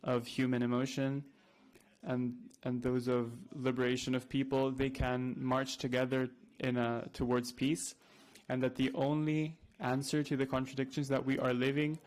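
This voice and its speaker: A man giving an earnest, somewhat halting conference speech. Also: mid-range voice, completely flat delivery. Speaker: conference speaker, activist.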